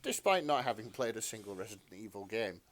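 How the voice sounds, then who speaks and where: A man co-hosting a podcast in casual, comedic chat, talking in a halting, rambling, self-deprecating way. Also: on the low side, sing-song. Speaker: podcast co-host.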